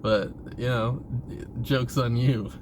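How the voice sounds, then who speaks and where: Clear ambient noise, like a vehicle, sits behind a man talking at an ordinary middle pitch. He rambles casually about himself in a confessional vlog style. His wording is informal and freely profane. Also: steady tone, slow. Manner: informal, profane, frequently chuckling.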